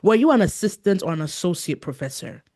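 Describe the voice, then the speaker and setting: A woman hosting an interview, encouraging and quick to affirm her guest. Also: lowish voice, sing-song, a little mellow. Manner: encouraging, frequent affirming murmurs. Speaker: podcast host. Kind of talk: interview conversation.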